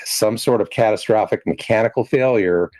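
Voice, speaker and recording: A man giving measured, speculative analysis as an interviewed commentator. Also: lowish voice, darkish tone, dry recording, faint music behind.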